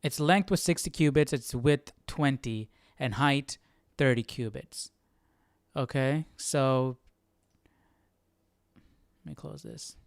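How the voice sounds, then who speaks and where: A man's voice: medium pitch, slow pace, vocal fry, pauses often, no echo. A young man hosting a casual livestream study, thinking aloud and repeating phrases as he goes. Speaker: Bible study livestream host. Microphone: close handheld or desk microphone with headphones.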